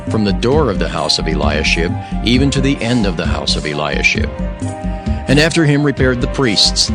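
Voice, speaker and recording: A man narrating scripture for an audio drama in a solemn, formal and measured way. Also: fairly low pitch. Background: music.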